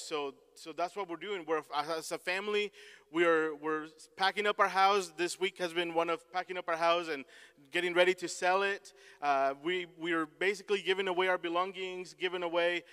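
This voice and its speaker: High-voiced Mexican-accented man preaching. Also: bright, forceful tone, smooth. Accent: Mexican Spanish. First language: Spanish. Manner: earnest, halting with restarts. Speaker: missionary. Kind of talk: church pulpit talk. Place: large church stage. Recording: long hall reverb.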